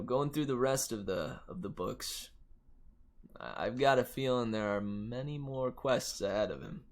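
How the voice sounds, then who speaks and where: A man's voice: medium pitch, gravelly. A man hosting a read-aloud, chatting in a casual, rambling, musing way with playful colloquial wording. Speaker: host reading a book aloud to viewers. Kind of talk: casual rambling chat with viewers.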